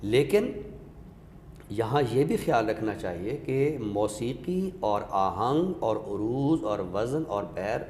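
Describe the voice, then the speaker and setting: A man's voice: fairly low pitch, sing-song, darkish tone. Echoey Pakistani man explaining like a scholar. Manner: didactic, deliberate, pausing for emphasis. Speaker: literary scholar and guest speaker. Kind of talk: explanatory discussion of literature. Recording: echoey reverberant room with background noise.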